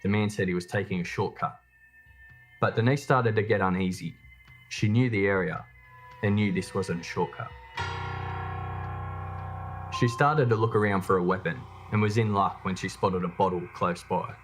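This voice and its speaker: A man's voice: on the low side, normal speed, soft background music. A man reading a scripted true crime documentary narration as its host.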